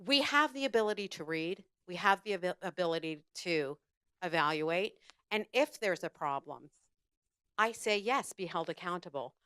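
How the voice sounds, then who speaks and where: A big-voiced woman gives an emphatic public comment into a handheld microphone. Her tone is bright and forceful and her sound is smooth and clear. The room comes across dry, with little echo. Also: lowish voice, expressive, normal speed. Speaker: public meeting commenter, vacation home host.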